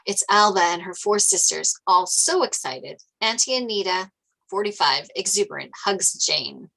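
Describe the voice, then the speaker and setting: A woman's voice: medium pitch, expressive, normal speed, vocal fry, sharp tone, low-quality recording. A woman narrating stage directions from a screenplay at a table read.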